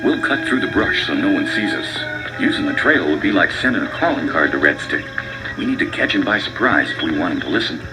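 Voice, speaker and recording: A man's voice: sits low, sing-song, brisk, rough recording. A man playing a folksy frontier hero. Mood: steady. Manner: folksy drawl, plain-spoken. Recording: voice over loud music. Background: loud background music.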